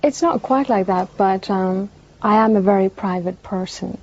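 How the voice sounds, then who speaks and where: Irish woman, gaelic first language, hesitant, mellow dark tone, muffled broadcast sound. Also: mid-range voice, moderate pace. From northwest Ireland. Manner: soft-spoken, hesitant, many fillers. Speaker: musician guest. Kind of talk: interview answers. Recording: dull lo-fi broadcast tape.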